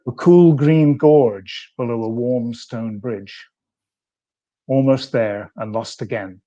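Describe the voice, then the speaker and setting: Slow, mellow-voiced man reading poetry. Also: mid-range voice, dull audio. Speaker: poet.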